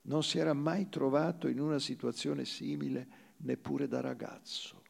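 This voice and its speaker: A man giving a theatrical reading of literary fiction, with measured, deliberate phrasing and dramatic pauses. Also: mid-range voice, fairly even intonation, vocal fry, darkish tone, husky. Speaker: actor reading a short story.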